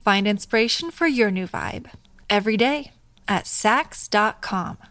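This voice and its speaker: A woman reading a polished scripted advertisement in a breezy, smooth way. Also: mid-range voice, expressive, measured pace, fairly bright tone, no room sound. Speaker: ad voice-over.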